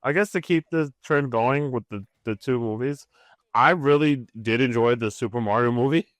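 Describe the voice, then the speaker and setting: A man's voice: mid-range voice, normal speed, dry recording. Easygoing man in dry, close podcast chat. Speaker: podcast co-host. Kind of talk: casual panel chat.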